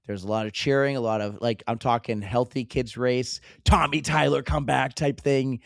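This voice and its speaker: Podcast co-host, male, hoarse from cheering, excitable and rambling, dry close sound. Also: medium pitch, moderate pace, no echo. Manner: excitable, laughing, stumbling restarts. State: hoarse, losing his voice. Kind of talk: casual chat.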